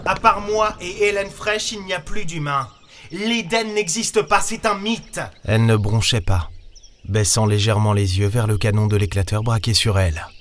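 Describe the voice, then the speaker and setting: A man's voice: mid-range voice, animated, normal speed, a bit bright, slight background noise, lightly acted. A man playing a wary, sarcastic starship captain in a sci-fi audio drama, speaking in a blunt, colloquial way. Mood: tense, threatening. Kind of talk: French sci-fi audio drama, acting and first-person narration. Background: music.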